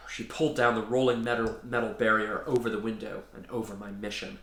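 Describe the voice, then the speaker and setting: A man's voice: on the low side, flat delivery, fairly quick, a bit bright. A man reading a children's story as its storyteller, in an informal way. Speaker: author and storyteller. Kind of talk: animated children's story reading with casual introduction. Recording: roomy echo.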